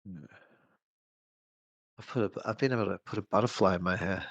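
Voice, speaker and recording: A man's voice: low voice, a little fast, a bit bright, no room sound, lo-fi sound. A man co-hosting a casual, absurd chat show, rambling and restarting his sentences. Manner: rambling, hesitant, lots of restarts. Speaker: co-host. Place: home setup, streamed show.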